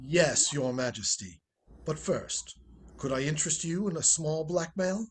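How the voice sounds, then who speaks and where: A man's voice: a little high, animated, measured pace, husky. A man playing a suave, roguish ex-husband in radio comedy style, breezy, wry and needling, on a home recording. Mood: wry, placating. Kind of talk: 1940s radio comedy acting.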